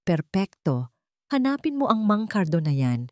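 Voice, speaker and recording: A woman's voice: medium pitch, sing-song, measured pace, no echo, clean studio sound. A woman voicing a cold, scheming, wealthy elderly villainess in a commanding, menacing way for a dramatic story.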